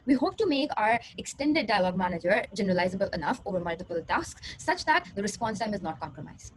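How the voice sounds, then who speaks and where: A woman's voice: mid-range voice, very animated, fast talker. A young woman, a PhD student, giving a rehearsed research presentation. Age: young adult. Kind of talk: rehearsed conference talk. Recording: clearly noisy recording.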